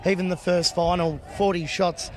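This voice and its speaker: A man's voice: high-pitched, flat delivery, normal speed. An Australian man, a bowls skipper, talking casually and upbeat in an outdoor interview. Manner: upbeat, casual, says "look" and "mate". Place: outdoor bowling green.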